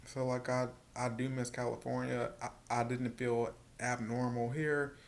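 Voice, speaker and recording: A man with a soft voice, stuttering and repeating words as he talks casually to the camera in a personal vlog. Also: medium pitch, level tone, creaky, a little hiss or hum. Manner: stuttering, halting with many repeated words and fillers. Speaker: vlogger.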